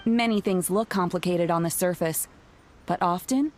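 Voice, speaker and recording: An actress playing a composed, courteous and shrewd shrine attendant in a video game dub, speaking deliberately. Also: female voice, on the low side, a little mellow, slight background noise, close to an everyday voice. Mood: composed, advising. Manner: polite, deliberate, advisory.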